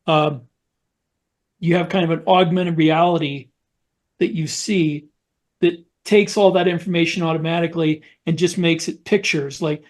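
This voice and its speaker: A man with a somewhat high-pitched voice in a podcast discussion. He speaks casually and conversationally as one of the co-hosts. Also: darkish tone. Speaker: podcast co-host and author.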